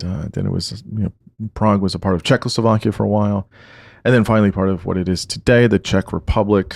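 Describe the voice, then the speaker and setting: A man hosting a podcast, introducing the episode and his guest in a relaxed way with many fillers and restarts. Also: on the low side, creaky, no room sound, clean studio sound. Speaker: podcast host.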